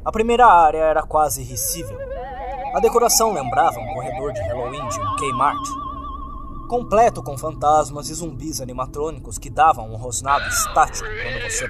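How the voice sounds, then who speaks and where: A man with a Brazilian accent reading a scripted first-person horror story with dramatic delivery, as narrator. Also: on the high side. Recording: background music under the voice. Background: music.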